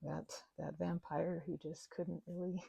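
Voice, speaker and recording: A woman voicing a timid, grateful, apologetic vampire in an intimate audio roleplay, stammering nervously, rambling and often dropping toward a breathy whisper. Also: on the low side, level tone, dark tone, no room sound, lo-fi sound, heavily acted. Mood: flustered, grateful. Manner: nervous stammering, halting and self-interrupting.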